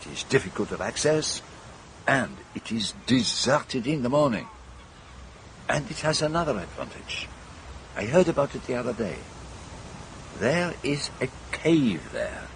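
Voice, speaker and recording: An actor playing a shrewd, measured private detective in a radio mystery, musing with deliberate precision. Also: male voice, medium pitch, very animated, slight background noise, poor audio. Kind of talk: radio mystery drama acting.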